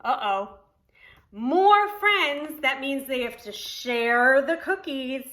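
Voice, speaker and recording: Bright, slow-paced woman reading to preschoolers. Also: high-pitched. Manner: child-directed, expressive, deliberate enunciation. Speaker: preschool teacher. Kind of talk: read-aloud for young children with counting lesson.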